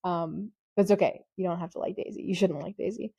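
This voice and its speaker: A woman who teaches high school, talking casually about her classes in a mellow voice. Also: quick pace, slightly rough, no room sound, lo-fi sound.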